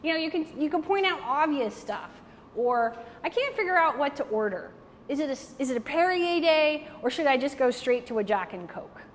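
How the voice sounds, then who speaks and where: A woman with a slightly high voice speaks to a live audience, candid and playful, peppering her advice with "like". The sound rings in a reverberant hall with faint background noise. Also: moderate pace. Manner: candid, joking, animated, frequent "like". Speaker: networking speaker. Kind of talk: lively talk to an audience, taking questions.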